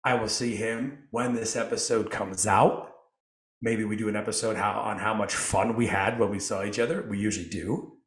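A man's voice: on the low side, steady tone, moderate pace. A man hosting a podcast, full of playful, self-mocking banter and showman-like flourishes. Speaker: podcast host. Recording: roomy echoey sound.

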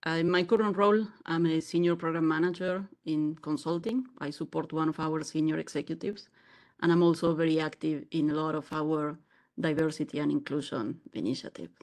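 A woman's voice: deep voice, fairly even intonation, a little fast, darkish tone. A woman from Argentina, a podcast guest, telling her story fluently.

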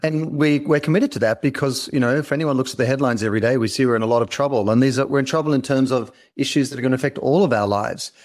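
A man's voice: mid-range voice, quick pace, hardly any pauses, a little mellow. A middle-aged man with an Australian accent, speaking as an interview guest in a polished, earnest storytelling style. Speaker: interview guest, company founder and author.

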